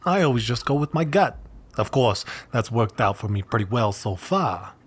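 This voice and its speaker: A man's voice: mid-range voice, big pitch swings, normal speed, breathy edge. An actor playing a cocky older man, a breezy, self-satisfied big spender who talks in slangy, boastful rambles.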